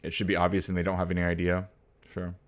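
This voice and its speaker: A man's voice: low-pitched, monotone, fast. A young American man hosting a livestream, chatting casually about politics and answering viewer comments, rambling with lots of fillers and getting blunt and profane. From United States. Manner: rambling and hesitant, full of fillers and restarts; blunt and profane when riled. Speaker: livestream host.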